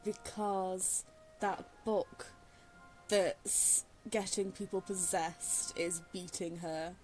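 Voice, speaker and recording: Slow-paced, mellow, rambling woman gamer. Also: lowish voice, fairly even intonation, faint music behind, poor audio. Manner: hesitant, rambling, giggly. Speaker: gaming streamer. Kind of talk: casual game playthrough commentary.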